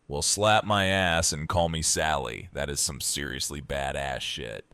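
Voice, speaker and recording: Man acting a brash, very low-voiced tough guy. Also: fairly even intonation, unhurried, pushed and bright, no room sound, full character voice. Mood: amused, impressed. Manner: brash, folksy. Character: Abraham, brash crude wisecracking tough male survivor. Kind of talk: audio drama acting.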